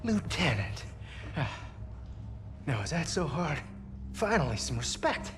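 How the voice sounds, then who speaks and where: A man's voice: on the high side, sing-song, a little slow, background noise. An actor playing a cocky, brash American pilot who taunts his captors with swagger. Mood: defiant taunting. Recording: game cutscene with music behind.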